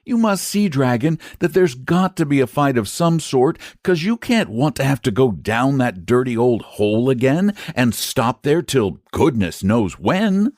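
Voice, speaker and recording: Eager storybook boy, high and blunt, voiced by a man. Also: very animated, a little slow, few pauses, a little mellow, no room sound, studio quality.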